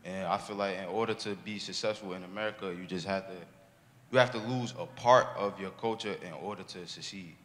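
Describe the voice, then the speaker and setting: A man's voice: low-pitched, fairly even intonation, a little fast, fairly bright tone. A young man speaking thoughtfully in a group discussion. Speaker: discussion participant. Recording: large hall reverb, faint noise.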